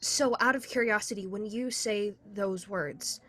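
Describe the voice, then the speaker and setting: A woman's voice: mid-range voice, a little slow, a bit bright. A young woman playing a skeptical, persistent girlfriend in a contemporary radio drama, dry and argumentative as she questions her partner. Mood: probing. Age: young adult. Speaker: student voice actor.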